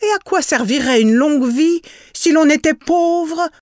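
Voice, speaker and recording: A woman voicing an excitable, greedy peasant wife who talks emphatically and dramatically. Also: high voice, unhurried, no room sound, clean studio sound, pitched above the performer's own voice. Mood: scheming.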